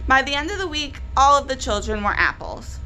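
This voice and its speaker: A woman's voice: high-pitched, very animated, brisk, pushed and bright, rough recording. A woman storyteller narrating a children's story aloud, expressively and directly to young listeners. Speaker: library storyteller.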